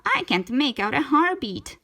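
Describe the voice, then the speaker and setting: A woman's voice: high-pitched, very animated, unhurried, pushed and bright, voice pushed up, full character voice. A woman voicing a silly male seabird, an earnest and apologetic friend, in a children's storybook. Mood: worried.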